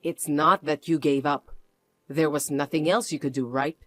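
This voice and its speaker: A voice actor playing a protective middle-aged mother who speaks in a low, cold and clipped way. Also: fairly even intonation, normal speed, a bit bright, dry recording. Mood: accusing. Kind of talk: dramatized story reading.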